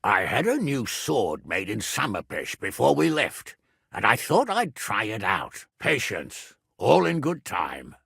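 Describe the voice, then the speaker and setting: Gruff middle-aged medieval lord for a video game, raspy and forceful, blunt and foul-mouthed. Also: male voice, lowish voice, wildly swooping pitch, unhurried, dry recording, clean studio sound, full character voice. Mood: brusque, frank. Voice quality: gravelly, rough. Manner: blunt, lordly, emphatic. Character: gruff, blustering, foul-mouthed medieval nobleman, hot-tempered but loyal. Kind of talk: dramatic video game voice acting.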